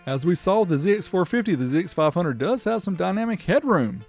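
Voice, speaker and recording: Man, fairly high voice, gravelly fry, step-by-step demo narration, faint music behind. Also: sing-song, brisk, a little mellow. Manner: explanatory, reading out figures step by step. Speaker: host of a car audio amplifier test video. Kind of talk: demonstration narration with test readouts.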